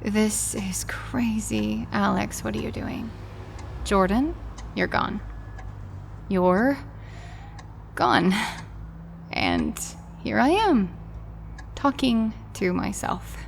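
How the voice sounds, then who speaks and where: A woman performs a wistful, fragile mourner in an audio drama, speaking very slowly and haltingly with a crackly, fry-edged voice. The delivery is intimate and sighing, over clear scratchy background noise. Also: medium pitch, very animated, exaggerated voice. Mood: self-doubting, pained. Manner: halting, sighing, slipping into whispers. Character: grieving adult writing to a lost love, wistful and fragile.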